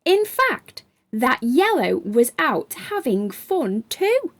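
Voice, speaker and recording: A woman's voice: high-pitched, big pitch swings, slow, no echo. A woman narrating a children's storybook expressively, as a storytime host.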